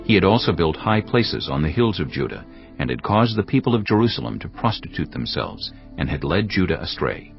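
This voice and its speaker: A man narrating scripture in a measured, solemn, scripted reading for an audio Bible. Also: deep voice, sing-song, creaky. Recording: dull lo-fi audio with faint music.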